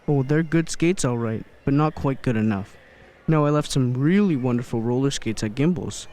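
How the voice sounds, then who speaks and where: Man playing a mellow, jolly old Santa Claus. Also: mid-range voice, normal speed, close to an everyday voice. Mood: kindly, generous. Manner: genial, grandfatherly, chuckling. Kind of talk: radio play acting.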